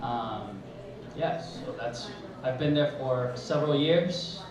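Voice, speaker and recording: A young man born in Mexico whose first language is Spanish, telling a personal story as a high school teacher at a live event, pausing often with fillers. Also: animated, background noise. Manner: hesitant, many pauses and fillers, mixes in Spanish. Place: event hall. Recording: echoey hall, mic far off.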